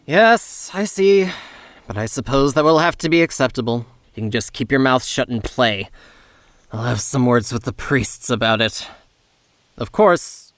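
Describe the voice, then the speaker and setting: An actor playing a nervous, fussy male steward in an audiobook, haughty and quick to sputter with outrage. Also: medium pitch, fairly bright tone, dry recording, close to an everyday voice. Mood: haughty, flustered. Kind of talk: full-cast audiobook acting.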